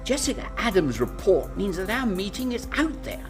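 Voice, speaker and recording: A woman's voice: mid-range voice, big pitch swings, normal speed, lightly acted. A woman acting a curt, exasperated, authoritative Prime Minister in a radio drama. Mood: appalled. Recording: music behind the voice.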